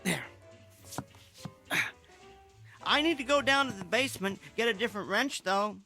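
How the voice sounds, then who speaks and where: An actor playing a meek, henpecked husband and amateur inventor in a radio drama, hesitant, mild and polite. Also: male voice, high-pitched, animated, quick pace, bright, forceful tone, soft background music, exaggerated voice. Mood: placating.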